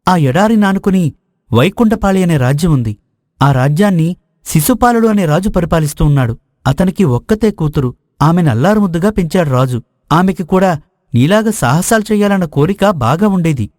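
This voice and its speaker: Man playing a high-voiced, knowing storytelling friend. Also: lively intonation, a little fast, a little mellow, no echo, studio quality. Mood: intriguing, explanatory. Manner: explanatory storytelling. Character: prince's knowing friend, an eager male tale-teller. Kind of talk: dramatized moral story dialogue.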